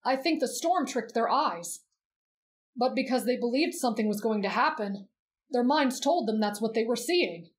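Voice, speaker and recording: A woman voicing a gentle teenage boy who reads in a soft, measured, expressive storybook way for roleplay ASMR. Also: a little high, close to an everyday voice. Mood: gentle, caring.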